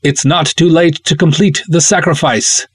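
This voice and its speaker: A furious, fanatical doctor villain, performed by a male reader, rages in a raised pitch. He screams and growls with crazed menace, in the manner of a pulp adventure audiobook. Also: steady tone, moderate pace, breathy edge. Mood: growling fury.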